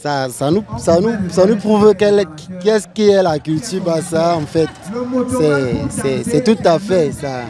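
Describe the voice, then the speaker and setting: Halting high-voiced man, slow, outdoors. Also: music in the background. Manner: halting, repeats words. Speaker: festival visitor. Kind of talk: vox pop. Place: outdoors at a festival.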